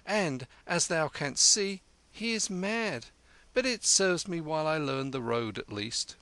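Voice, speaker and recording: A man doing a bold, quick-witted street boy. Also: a little high, expressive, bright, forceful tone, clear, resonant tone, no room sound, pitched above the performer's own voice, full character voice. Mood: shrewd. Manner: raised, sing-song mimicry of an elder.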